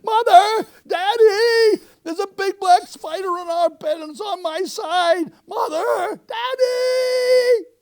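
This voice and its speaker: A man's voice: sing-song, measured pace, creaky, exaggerated voice. A man voicing a frightened little girl who squeals and shrieks. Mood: panicked. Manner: squealing, high and loud.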